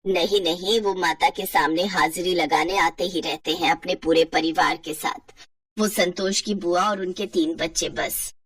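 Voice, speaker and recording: Kindly but bossy village saas played by female narrator, middle-aged, rough-edged voice, affectionate scolding, slightly off-mic. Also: lowish voice, fairly even intonation, a little slow, fairly bright tone, dry recording, far from the mic, pitched below the performer's own voice. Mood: warm, brisk.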